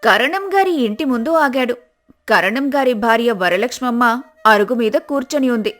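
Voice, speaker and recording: A woman's voice: on the high side, expressive, moderate pace, fairly bright tone, soft background music. A woman narrating a scripted folk tale as storyteller.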